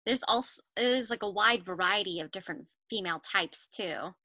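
A young woman guest speaking over a video call, thoughtful and hesitant with frequent pauses and fillers. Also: medium pitch, pushed and bright, no room sound, rough recording. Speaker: guest voice actor. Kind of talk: casual video-call interview chat.

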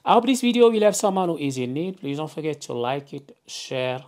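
A woman's voice: low-pitched, very animated, fairly quick, a little mellow. A woman presenting a hands-on tutorial, explaining simply step by step and often checking in with an okay. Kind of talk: hands-on instructional narration. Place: indoors, at a wooden work table.

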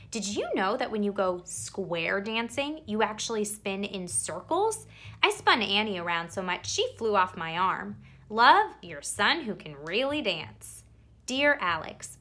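A woman reads a children's story aloud in a highly animated voice of middling pitch. She leans on key words and stretches them out for theatrical effect, as a storyteller does for young listeners. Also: measured pace. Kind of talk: expressive children's picture book read-aloud.

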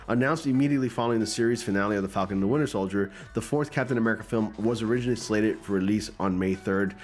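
A man in his forties talks close into a studio microphone in a small home room, his voice a little stuffy from a cold. He sits on the lower side of male pitch and moves between reading news copy aloud and chatty asides to his viewers. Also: racing, a little mellow, faint music behind. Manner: conversational, reads news text aloud with asides. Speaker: YouTube news commentator. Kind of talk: casual commentary reading an article. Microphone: large studio mic close in front.

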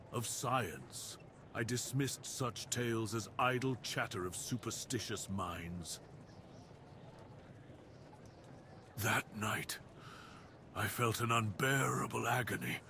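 Casting: male actor as commanding, brooding wolf beast, mid-pitched, formal and deliberate, for ASMR fantasy drama. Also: a bit bright, slight background noise. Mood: haunted, grave. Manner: solemn, deliberate, theatrical delivery with formal wording. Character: brooding cursed dire wolf beast-man, commanding castle master. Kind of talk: ASMR roleplay, dramatic monologue.

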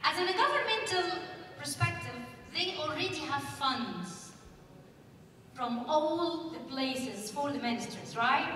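A woman's voice: sits high, a little slow, slight background noise. A woman speaking on a conference panel stage into a handheld mic, putting questions to the audience. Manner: asks the audience questions, lively. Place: large conference hall stage. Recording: echoey hall from far off.

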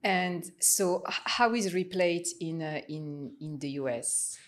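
A woman's voice: fairly low pitch, takes it slowly. A woman hosting an interview show, asking friendly questions with some fillers. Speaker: podcast host.

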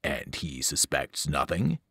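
A man voicing a cold, ancient male monster that speaks from a pit, slow and scornful. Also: rumbling low, very animated, gravelly, fairly bright tone, breathy edge, dry recording, studio quality, pitched below the performer's own voice, heavily acted. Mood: menacing. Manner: menacing, scornful, slow and looming.

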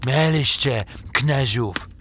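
A scheming German merchant in a radio play. He speaks extremely slowly and haltingly, with a German accent and a boastful, insinuating tone. There is slight noise behind the voice. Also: medium pitch, bright, forceful tone. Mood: scornful, persuasive. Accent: German, put on. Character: scheming German trader tempting a Slav into treason. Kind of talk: audio drama acting.

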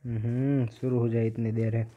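A man's voice: medium pitch, little pitch movement, normal speed. A man giving casual commentary as a gaming YouTuber while he plays. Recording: dull, lo-fi microphone sound.

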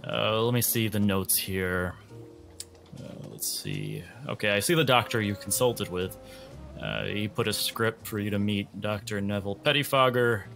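A man's voice: lowish voice, expressive, normal speed, creaky, a bit bright, faint music behind. A man playing a detached, bureaucratic escort in a tabletop roleplay, speaking hesitantly and matter-of-factly. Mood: checking notes. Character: detached, bureaucratic male escort handling a patient transfer.

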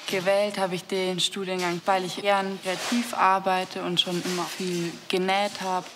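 A woman's voice: lowish voice, little pitch movement, a little slow, background noise. A woman in her twenties, a student, introducing herself in an interview. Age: 20s. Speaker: design student. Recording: reverberant, music behind.

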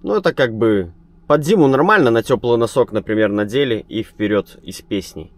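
A man who drives a truck, chatting to his viewers in a relaxed, rambling vlog style. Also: medium pitch, moderate pace, no echo. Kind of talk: casual vlog chat. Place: truck cab and roadside.

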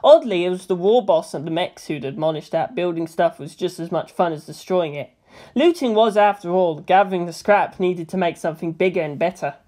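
A woman's voice: on the low side, fairly quick, mellow. A woman reading scripted fan fiction narration as an audiobook narrator.

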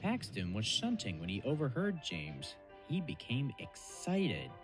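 A man's voice: mid-range voice, wildly swooping pitch, a little slow, over music. A man reading scripted storybook narration for a cartoon dub.

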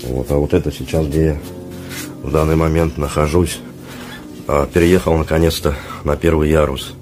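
A man with an extremely low, flat voice talks casually to his vlog viewers. He is sick with a sore throat and his voice is worn out, so he speaks in halting phrases. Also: faint music behind. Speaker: vlogger. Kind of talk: casual vlog talk to camera. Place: small dormitory room, indoors. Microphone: handheld phone at arm's length.

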